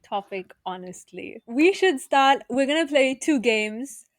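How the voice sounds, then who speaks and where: 18yo woman from south africa, chatty, lots of likes, higher voice, dry close sound. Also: expressive, dry recording. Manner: chatty, lots of "like", quick laughs. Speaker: podcast co-host. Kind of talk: casual chat.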